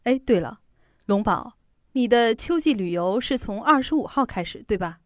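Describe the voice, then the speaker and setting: A woman's voice: fairly high pitch, animated, moderate pace, no room sound, clean studio sound, close to an everyday voice. An actress in an audio drama playing a chatty, fretful middle-aged mother who sells insurance. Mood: caring, brisk. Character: fussy, protective middle-aged working mother, insurance saleswoman.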